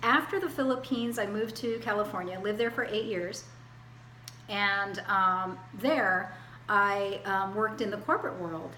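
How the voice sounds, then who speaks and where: A woman in her forties gives a plain, unhurried self-introduction, her bright voice often pausing on fillers and restarting sentences. She sounds like she is recording herself on a webcam in an echoey room. A faint hiss sits underneath her voice. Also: medium pitch. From Hawaii, US. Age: 40s. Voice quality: hesitant, many fillers and restarts. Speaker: student.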